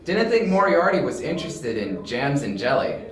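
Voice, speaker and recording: A man's voice: a little high, big pitch swings, normal speed, fairly bright tone. A man playing a detective's skeptical, plain-spoken companion in an amateur audio drama. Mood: matter-of-fact. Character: young detective's male companion, skeptical, straightforward sidekick. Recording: echoey room.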